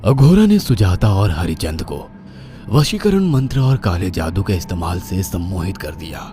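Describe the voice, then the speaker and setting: A man narrates a horror story in a dramatic, scripted style. His voice is very low and swings widely in pitch, over clearly audible music. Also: sing-song, normal speed, mellow.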